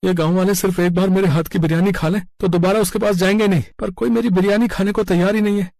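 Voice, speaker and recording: A man's voice: high-pitched, steady tone, fast talker, vocal fry, darkish tone, no echo. A man voicing an earnest, proud, hard-working poor village father in a scripted story. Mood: frustrated. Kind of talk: scripted cartoon story dialogue.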